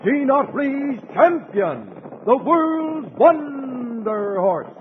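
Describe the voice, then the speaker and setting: A man's voice: extremely high pitch, very animated, very slow, slight background noise. A man announcing the sign-off of a vintage radio serial. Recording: dull old radio recording, organ music.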